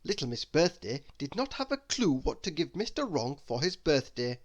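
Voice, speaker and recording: Animated children's storybook reader. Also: mid-range voice, moderate pace. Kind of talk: children's read-aloud narration.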